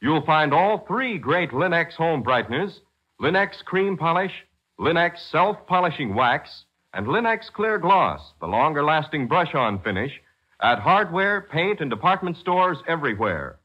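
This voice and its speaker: A man's voice: fairly high pitch, very animated, unhurried, breathy edge. A man reading as a 1940s radio announcer, polished and emphatic like a salesman. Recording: muffled 1940s radio recording.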